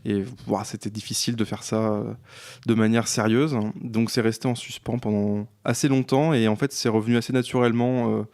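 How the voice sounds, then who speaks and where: A man's voice: lowish voice, steady tone, a little fast, a little mellow. A man speaking as a guest, telling personal anecdotes in an informal, slightly hesitant way. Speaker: guest, audio parody creator.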